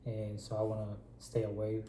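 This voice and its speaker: A man's voice: fairly low pitch, completely flat delivery, moderate pace, warm tone, a little hiss or hum. A man in his twenties with a Spanish accent, a native Spanish speaker, answering politely and briefly as a client in a role-played counseling session. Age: 20s. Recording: roomy echo.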